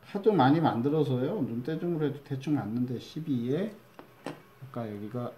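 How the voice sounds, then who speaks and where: A man's voice: mid-range voice, expressive, normal speed, dark tone, slight background noise. A middle-aged man from Chungcheong with a Chungcheong Korean accent, demonstrating a recycled-materials craft step by step as a crafts teacher, chatty and repeating key words. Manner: chatty, repeats key words, drops to a mutter while measuring.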